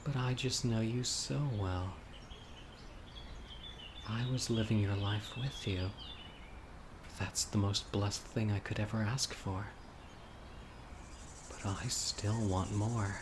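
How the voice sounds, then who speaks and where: Man acting a slow, low-voiced obsessive male witch. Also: fairly even intonation, background noise. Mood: tender, needy. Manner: slow, deliberate, intimate pleading. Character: obsessive, dying young male witch, devoted yandere lover. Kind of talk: audio roleplay, dramatic monologue to listener. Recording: outdoor nature ambience, crickets and birds. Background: insects, birdsong.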